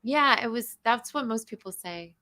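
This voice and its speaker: A woman, hoarse and tired, chatting casually as a guest, often trailing off mid-sentence. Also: on the high side, lively intonation, moderate pace, pushed and bright. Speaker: guest, host's new wife. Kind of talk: casual chat.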